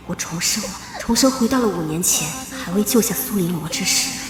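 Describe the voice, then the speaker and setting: A woman playing a young noblewoman reborn for revenge, narrating solemnly and with measured drama. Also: mid-range voice, lively intonation, gravelly. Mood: anguished, resolute. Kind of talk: dramatic first-person narration for an animated period drama. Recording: echoey long reverb over loud music.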